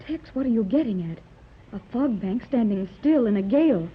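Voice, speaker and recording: A woman's voice: on the high side, lively intonation, moderate pace, breathy edge. An anxious wealthy mother. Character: anxious wealthy woman seeking her daughter. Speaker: wealthy mother searching for her daughter. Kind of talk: 1930s radio drama acting. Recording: muffled old radio transcription with loud hiss.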